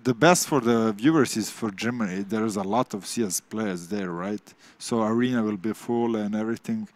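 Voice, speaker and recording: A man with a Serbian accent giving relaxed, opinionated esports analysis and ending thoughts with "right?". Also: fairly low pitch, normal speed. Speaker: studio analyst. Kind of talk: casual esports analysis chat.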